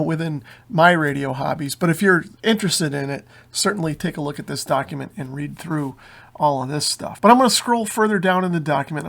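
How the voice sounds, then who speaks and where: A man's voice: on the high side, creaky, fairly bright tone. A man giving informal explanatory commentary as a hobby channel host, walking listeners through a written document.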